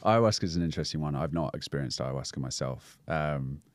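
A man in his thirties with an extremely deep voice, speaking in a calm, measured, considered way. He explains things at an easy pace on a close studio mic. The sound is dry and close. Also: no room sound. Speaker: breathwork guide, podcast guest. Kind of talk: casual explanatory interview talk. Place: small studio lounge set. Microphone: boom-arm studio mic.

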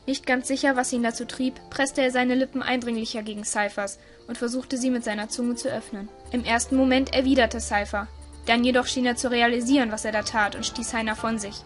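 Higher-voiced woman narrating a story. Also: fairly even intonation, fairly quick, faint music behind.

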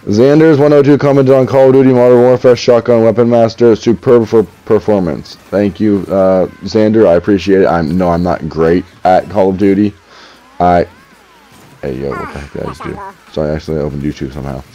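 A young man with a deep voice, reading viewer comments aloud while gaming at home, dry and a little sarcastic, often stammering as he restarts his sentences. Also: steady tone, a little fast, mellow, faint music behind. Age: young adult. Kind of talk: casual commentary while playing. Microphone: headset. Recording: slightly dull lo-fi audio.

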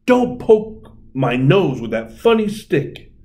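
A man voicing a bossy, excitable female Tooth Fairy from a storybook in an exclamatory way. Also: on the high side, takes it slowly, voice pushed up, full character voice. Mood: insistent. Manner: exclamatory, animated storybook delivery.

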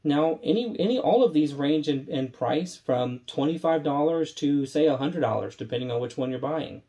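A man in his thirties from Texas with a slightly raspy, allergy-congested voice, chatting enthusiastically while reviewing rums at a home bar. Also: fairly high pitch, animated, moderate pace, mellow. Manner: enthusiastic, conversational, holding up bottles while tasting. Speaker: rum reviewer. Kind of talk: casual spirits review. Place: indoor home bar room.

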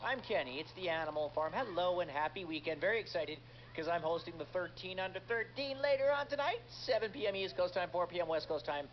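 Animated male kids' radio host, noisy recording. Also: high-pitched, a little fast. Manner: animated, chatty. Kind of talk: upbeat kids' radio hosting. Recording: dull re-recording off a speaker, clearly noisy.

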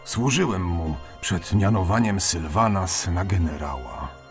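A man's voice: sits low, measured pace, faint music behind. A man playing a weary, proud old elf veteran captain in a fantasy audio drama, authoritative and melancholy. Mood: wistful, resolute.

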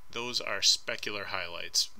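Bright-toned man lecturing over slides. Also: fairly low pitch, measured pace, vocal fry, poor audio. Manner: casual and hedging, frequent ums. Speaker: art instructor. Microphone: voice-over recorded over slides.

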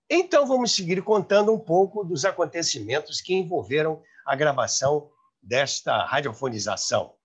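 Male presenter, seventies, Brazilian accent, husky and very lively, recounting history to camera. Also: a little high. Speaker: host. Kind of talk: storytelling about radio history, to camera. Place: room by a large window, indoors. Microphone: lapel mic.

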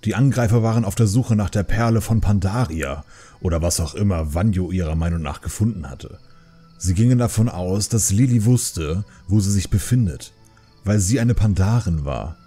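A man voicing an earnest older pandaren brewmaster uncle in a fantasy audiobook, reasoning and remonstrating in a measured manner. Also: sits low, expressive, warm tone, breathy edge, soft background music. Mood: insistent, defensive.

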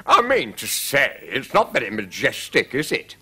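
A man voicing a mild, hesitant storybook king who reproves gently in a genteel, tentative way. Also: medium pitch, huge pitch swings, normal speed, husky, heavily acted. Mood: mildly reproving. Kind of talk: children's story character voice.

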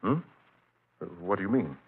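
A man's voice: sits low, animated, normal speed, slightly rough, exaggerated voice. An actor playing a hearty, bluff family man visiting an old friend, chummy and sputtering, in 1940s radio drama style. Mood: subdued, uneasy. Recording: tinny, noisy old radio recording.

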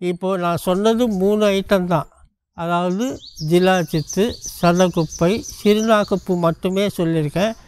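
An older man, a traditional medicine practitioner, speaking outdoors to the camera at an unhurried pace and listing items one by one. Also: sits high, a little hiss or hum. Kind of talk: explaining to camera. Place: outdoors among trees.

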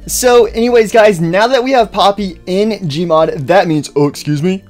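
A man's voice: high-pitched, normal speed, soft background music. Upbeat rambling young-sounding YouTuber man. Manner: upbeat, rambling with many fillers. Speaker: YouTuber host. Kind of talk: casual game mod review commentary.

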